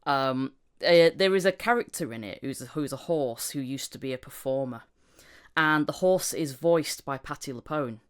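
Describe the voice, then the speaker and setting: A woman's voice: very deep voice, moderate pace, smooth. A woman speaking conversationally as the host of a podcast about voice acting. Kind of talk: casual podcast chat.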